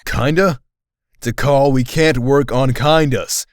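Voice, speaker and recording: A man's voice: mid-range voice, fairly even intonation, takes it slowly, dry recording, clean studio sound, natural voice. A man playing a stern, skeptical guardian in an audio drama, scolding and exacting. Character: Dart, stern, critical, skeptical male guardian.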